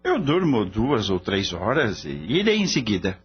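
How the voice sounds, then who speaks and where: Easygoing young vet son in a radio soap, really husky, muffled audio w/ music behind. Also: male voice, lowish voice, huge pitch swings, measured pace, heavily acted. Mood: earnest. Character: dedicated, affectionate young veterinarian, a devoted son. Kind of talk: radio soap opera acting.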